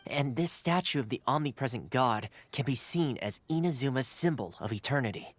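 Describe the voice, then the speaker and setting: A man's voice: medium pitch, lively intonation, a little fast, lightly acted. A man playing an affable, courteous young retainer and guide in a video game, explaining things in a polite, easygoing way. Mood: thoughtful, explaining. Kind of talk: video game dialogue acting.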